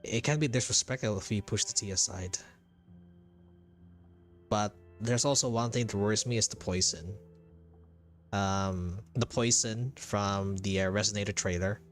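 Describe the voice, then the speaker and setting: A man's voice: fairly low pitch, moderate pace, long pauses. A man giving casual live commentary on a video game playthrough, thinking aloud hesitantly and repeating himself. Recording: game music behind the voice. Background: video game music.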